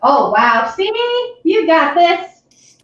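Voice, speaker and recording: A woman's voice: sits high, very animated, very slow, fairly bright tone. A woman co-hosting a live painting stream, chatting in a rambling way and laughing often. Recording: very echoey, dull lo-fi sound.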